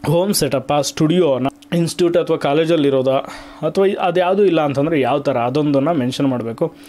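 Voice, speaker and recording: A man speaks in a relaxed, mellow tone that sits a little higher than most male voices. He hosts an online explainer, talking conversationally to his viewers. He moves through instructions one step at a time in an informal style. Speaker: online video host.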